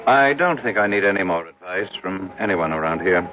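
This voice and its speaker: A brusque, skeptical businessman in a vintage radio play, on a tinny recording with music behind. His deep voice swings widely as he issues clipped, imperious, humorless demands. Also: expressive, a little fast. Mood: curt, assured. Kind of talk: old-time radio drama acting.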